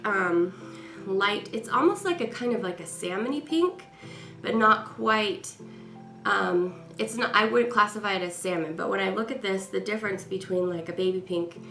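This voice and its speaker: A woman's voice: mid-range voice, normal speed, creaky, a bit bright. A woman vlogger giving a chatty, rambling product review while stuffy and congested from a cold. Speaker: beauty vlogger. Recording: echoey room with faint music.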